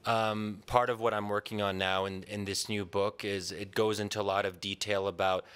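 Conference speaker: man, 30s, American, deepish voice, measured academic delivery, podium mic in a large hall. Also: little pitch movement, a bit bright, smooth. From Pennsylvania, USA. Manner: measured, thoughtful, with frequent ums and restarts. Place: large hall with a seated audience. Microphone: podium microphone.

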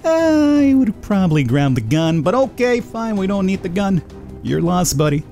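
High-pitched lively man commenting on a game. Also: normal speed, vocal fry, soft background music. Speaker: gaming video host.

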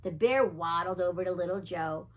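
A middle-aged woman reading a picture book aloud to children in an animated way, talking to them directly with questions. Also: fairly low pitch, moderate pace. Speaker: children's story time reader and wildlife educator. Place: small indoor library room with bookshelves.

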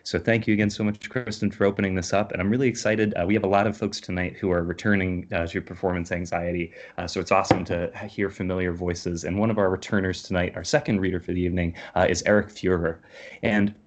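A man's voice: sits low, level tone, fast. A man hosting a poetry reading over a video call, casual and appreciative as he introduces readers. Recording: dull, lo-fi video call audio.

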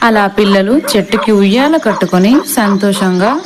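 A woman's voice: medium pitch, moderate pace. A woman reading a scripted children's story as narrator, recorded with noise behind her.

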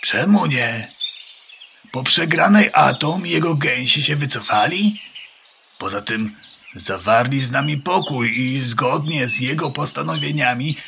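A man's voice: mid-range voice, expressive, a little slow, gravelly, bright, forceful tone, slight background noise, heavily acted. A man playing an earnest, pompous farmyard rooster who declaims in a children's radio play. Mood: measured. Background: birdsong.

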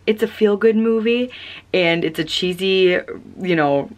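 A woman's voice: medium pitch, unhurried. A young woman vlogging casually at home, chatty with many ums and restarts, tired and fighting off a cold. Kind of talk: casual chat. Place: home, small room. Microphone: camera close up.

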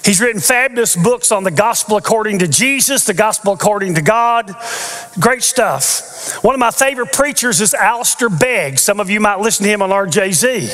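Bright-voiced older man preaching. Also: high-pitched, animated, normal speed. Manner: earnest teaching with playful asides. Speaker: pastor. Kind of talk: sermon. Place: large church stage with audience. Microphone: headset mic. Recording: long reverb of a large church hall.

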